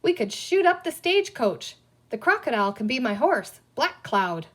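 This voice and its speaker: A woman's voice: sits high, very animated, normal speed, a bit bright, pitched above the performer's own voice, full character voice. A woman voicing a playful little man who acts the outlaw, with folksy, clipped slang, in a storybook reading. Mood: eager.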